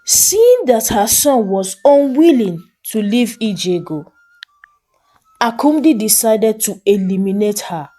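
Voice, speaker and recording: A woman narrating a folktale as a storyteller, with a measured, expressive and dramatic delivery. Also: fairly high pitch.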